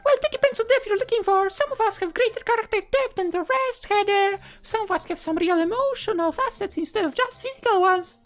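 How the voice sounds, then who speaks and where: Teenage sprite girl, very high voice, perky, bossy, theatrical, visual novel game character. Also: brisk, hardly any pauses, faint music behind. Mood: indignant, proud. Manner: perky, theatrical, indignant when teased. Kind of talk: anime-style game character voicing.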